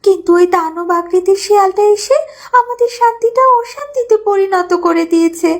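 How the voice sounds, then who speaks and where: A woman's voice: extremely high pitch, moderate pace, darkish tone. A woman voicing a small, sorrowful, worried mother bird in a children's cartoon, speaking plaintively. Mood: distressed. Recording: faint music behind the voice.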